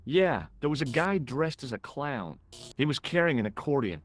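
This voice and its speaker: A young American tourist in a video game. His voice sits at a middling pitch, with an American accent and a casual, easygoing, slightly wry delivery. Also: sing-song, normal speed. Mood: casual, cooperative.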